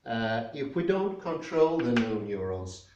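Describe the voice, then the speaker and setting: A man with a Swedish accent giving a spoken lecture, thoughtful and pausing often with fillers. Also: medium pitch, very animated, darkish tone, muffled recording, a little hiss or hum. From Sweden. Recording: echoey room, mic at a distance.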